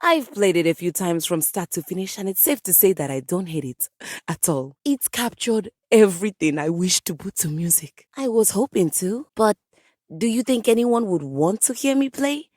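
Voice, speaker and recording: A woman's voice: mid-range voice, lively intonation, moderate pace, darkish tone, no room sound, clean studio sound, natural voice. A woman playing a passionate piano come to life in a video game, a haughty, dramatic composer with florid, formal diction. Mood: proud, hopeful. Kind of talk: video game character voice acting.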